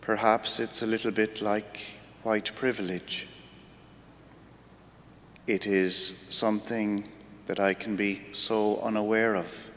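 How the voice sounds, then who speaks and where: A man with an Irish accent, a priest giving a weekday homily in a slow, reflective, measured way. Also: little pitch movement, a bit bright. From Ireland. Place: church. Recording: church hall reverb with faint rumble.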